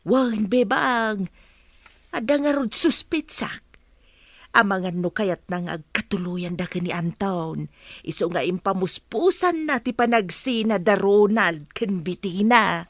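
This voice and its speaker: A woman as gossipy maid, very animated pitch, expressive, chatty, dry close recording, radio comedy drama. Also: unhurried, no room sound. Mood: suspicious, gossiping.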